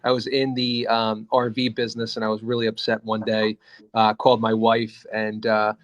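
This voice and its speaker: A man talks through his story as an interview guest, steady and unhurried. His pitch barely moves, and the recording is dry, with no room sound. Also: no room sound. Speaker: interview guest, jet broker. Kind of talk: casual interview talk.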